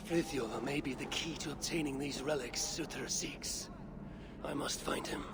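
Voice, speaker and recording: A man's voice: medium pitch, moderate pace, very noisy, close to an everyday voice. Man acting a proud, commanding Norse god. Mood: resolute. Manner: imperious, blunt, formal archaic phrasing. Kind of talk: dramatic video game voice acting.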